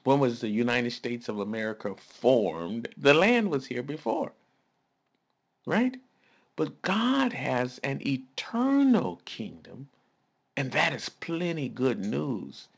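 A male pastor delivers a relaxed, conversational sermon, taking his time over every phrase. His voice has a gravelly rasp, and he leans on emphatic, folksy asides and rhetorical questions. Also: medium pitch, takes it slowly.

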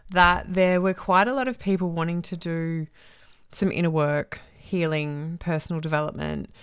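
A woman hosting her own podcast as a transformation coach, talking alone in an effusive way, often repeating herself for emphasis, with a voice gone husky from a week-long cough. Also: lowish voice, no room sound, studio quality. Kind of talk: solo podcast monologue.

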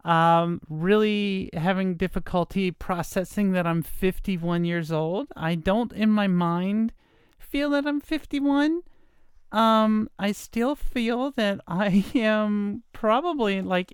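A woman's voice: mid-range voice, smooth, no room sound, clean studio sound. A woman in her fifties hosting a solo podcast, talking in a rambling, hesitant way with many fillers, her voice a bit nasal from stuffy sinuses. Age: 50s. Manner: rambling, hesitant, self-deprecating with frequent fillers. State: stuffy nose from allergies. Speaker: podcast host. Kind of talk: casual solo podcast monologue.

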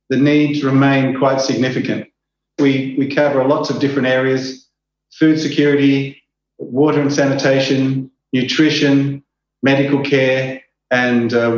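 A man with an Australian accent, a country director for an aid group, answering interview questions in a relaxed way with fillers and restarts. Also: medium pitch. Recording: very echoey, dull, faint noise.

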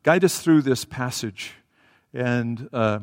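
A man's voice: mid-range voice, slow pace. Man preaching in a reverberant church. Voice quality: self-described loud voice. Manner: conversational and hesitant, with fillers and restarts. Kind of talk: sermon with Bible reading and prayer. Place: church sanctuary. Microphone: headset microphone. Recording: reverberant hall.

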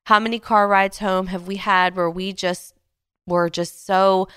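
A woman in her late twenties hosting a devotional podcast, speaking gently and earnestly with soft pauses, her voice a little stuffy from a cold. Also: medium pitch, steady tone, clear, resonant tone, dry recording, clean studio sound. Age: late 20s. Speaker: podcast host. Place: Houston, Texas.

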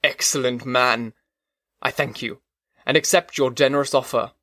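A man's voice: mid-range voice, fairly even intonation, moderate pace, bright, forceful tone, no room sound. Outcast creature, fervent and pleading , as a man reads him, dry close sound. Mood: grateful. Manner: pleading, fervent old-fashioned speech. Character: lonely, pleading male creature, desperate for kindness.

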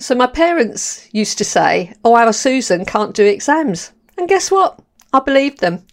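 A woman's voice: on the high side, unhurried. An older woman with a London accent hosting her podcast, talking candidly with frequent laughs. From London, England. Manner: candid, animated, laughs often. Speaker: podcast host. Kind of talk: personal monologue.

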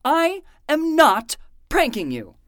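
A man's voice: extremely high pitch, wildly swooping pitch, slow, a bit bright, no room sound, clean studio sound, heavily acted. A man playing an exasperated, sarcastic librarian in a fiction podcast, ranting with dramatic disbelief. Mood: frantic, incredulous. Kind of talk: scripted fiction podcast acting.

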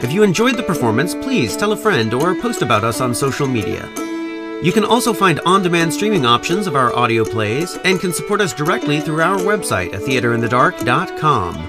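A man's voice: fairly high pitch, sing-song, fairly quick, loud music behind the voice. A man hosting as a director, giving scripted announcements and interviewing. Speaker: director and host.

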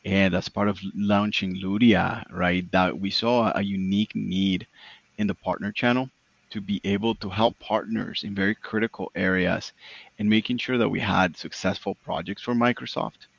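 A man's voice: low voice, fairly even intonation, moderate pace, creaky, no echo, muffled recording. A man who founded a consulting firm, talking earnestly in an interview and often adding "right?". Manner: earnest, repeats words, tag "right?". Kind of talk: business interview.

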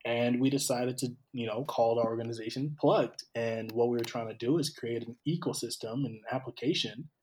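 A man from Cameroon hosting a conversation, talking in a thoughtful, unhurried way and often repeating words as he starts a sentence. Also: mid-range voice, fairly even intonation, darkish tone, slight background noise, low-quality recording. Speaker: podcast host. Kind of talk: casual conversational interview.

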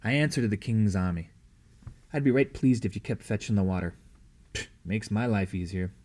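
Guy doing a gruff army wife in a storybook, blunt, grumbly, folksy way of talking. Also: fairly low pitch, moderate pace, darkish tone, close to an everyday voice.

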